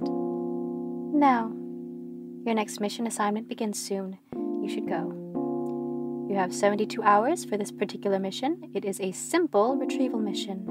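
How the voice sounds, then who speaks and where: Audio drama facility boss voiced by a woman: stern, formal, higher-pitched, deliberate, over loud plucked guitar music. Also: close to an everyday voice. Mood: measured, grave. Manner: formal, clipped, deliberate, authoritative. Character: stern, formal, measured supervisor of a secretive facility. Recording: prominent musical backing.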